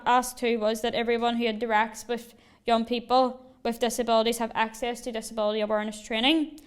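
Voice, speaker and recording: Young woman, from Northern Ireland, clear voice, flat delivery, measured speech, video call. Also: fairly high pitch. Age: young adult. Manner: measured, deliberate reading. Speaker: rally speaker. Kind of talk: prepared speech read aloud.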